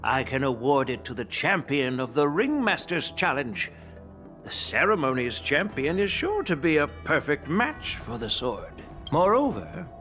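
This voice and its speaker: A dignified elderly general, measured and courteous. His pitch rises and falls freely as he explains. Faint music plays behind this studio game recording. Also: a bit bright. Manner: courteous, measured, stately speech.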